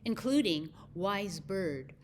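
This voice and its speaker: A woman with an American accent hosting a children's story time, speaking deliberately as if to young listeners. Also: lowish voice, lively intonation, a little hiss or hum. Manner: slow, deliberate phrasing with questions to young listeners. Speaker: library story time host.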